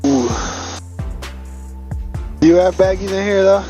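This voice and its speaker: A man's voice: high-pitched, a little slow, warm tone, faint music behind. A man who is a passenger in a small plane, chatting casually and asking questions over the headset. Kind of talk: casual chat. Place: small plane cockpit in flight. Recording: dull aircraft headset audio.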